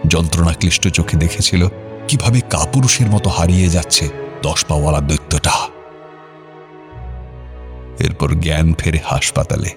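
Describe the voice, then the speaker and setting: A man narrating an audio drama as storyteller, in a dramatic scripted reading style. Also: rumbling low. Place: studio. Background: music.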